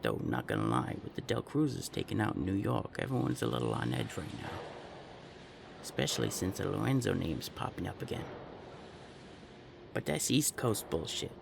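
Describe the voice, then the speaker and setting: A man playing a brash, blunt, foul-mouthed gang member in an audio roleplay, with a gravelly voice over a noisy backdrop. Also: low-pitched, big pitch swings, moderate pace, exaggerated voice. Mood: dismissive. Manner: casual, blunt, streetwise.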